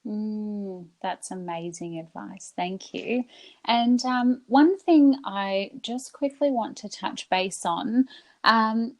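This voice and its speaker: A woman's voice: mid-range voice, slow pace, a little mellow. A woman hosting a podcast, affirming her guest and asking long conversational questions. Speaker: podcast host. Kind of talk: interviewer, conversational.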